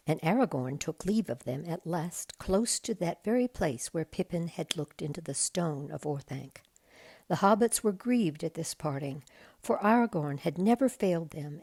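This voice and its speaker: Low, slow, creaky woman storyteller. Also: dark tone. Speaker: volunteer storybook reader. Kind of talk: read-aloud bedtime story narration.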